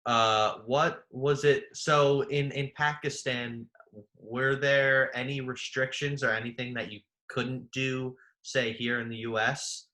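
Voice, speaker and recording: A young man hosting a podcast, asking curious, friendly questions with quick, filler-laden delivery. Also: mid-range voice, level tone, pushed and bright. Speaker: podcast host. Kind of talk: casual interview chat.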